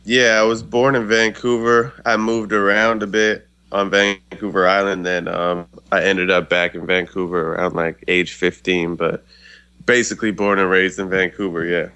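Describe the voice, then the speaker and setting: A young man from Canada calls in as an interview guest. His low voice barely moves in pitch. It sounds bright and smooth, and he talks in a relaxed, easygoing way. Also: normal speed. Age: 20s. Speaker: guest rapper. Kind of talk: phone-in interview.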